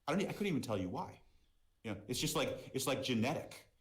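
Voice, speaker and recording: A man's voice: mid-range voice, big pitch swings, fast talker. A middle-aged man from Boston with an American accent, talking casually as an interview guest, repeating words and often saying "you know". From Boston, United States. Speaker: podcast guest, merchandise business executive.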